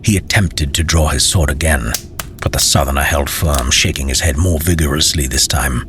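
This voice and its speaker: A man's voice: a bass voice, moderate pace, fairly bright tone, soft background music. A man narrating a scripted fantasy story as an audiobook reader.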